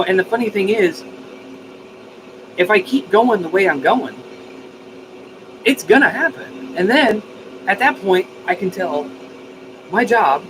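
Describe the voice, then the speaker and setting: A man's voice: high voice, background noise. A man chatting casually on a live stream as host, enthusiastic and effusive, with a folksy, informal, mildly profane way of talking. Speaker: live stream host of a DIY radio channel.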